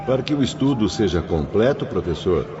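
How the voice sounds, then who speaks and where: A man's voice: fairly low pitch, big pitch swings, a little fast. A man playing an earnest student who respectfully questions his master in an audiobook drama. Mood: concerned, curious. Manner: respectful, inquiring. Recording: dull, long reverb, outdoor noise.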